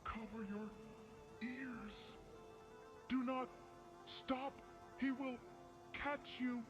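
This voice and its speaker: A synthetic voice reading ominous instructions slowly and clipped, one word at a time. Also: mid-range voice, steady tone, highs cut off. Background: music.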